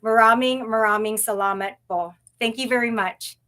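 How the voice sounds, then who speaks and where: A woman whose first language is Tagalog, giving an earnest, measured presentation on a video call. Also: a little high, fairly even intonation, fairly bright tone. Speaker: presenter of a university session. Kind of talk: online presentation, warm and formal.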